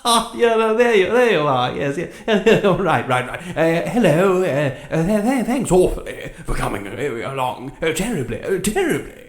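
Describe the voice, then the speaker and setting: Bumbling, stammering, high-voiced timid king. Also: very animated, moderate pace, few pauses, reverberant. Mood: nervous, giggly. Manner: stammering, flustered, giggly and rambling. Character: bumbling, timid, apologetic, well-meaning king. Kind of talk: comedy audio drama acting.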